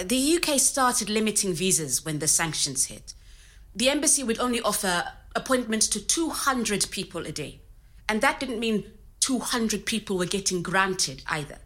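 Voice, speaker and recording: Woman looking back on her life, candid n practical, normal pitch, audio drama chat. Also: lively intonation, moderate pace, a bit bright. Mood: candid, exasperated. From Zimbabwe. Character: resourceful, candid Zimbabwean woman recounting her working life. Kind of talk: scripted oral-history storytelling in conversational manner.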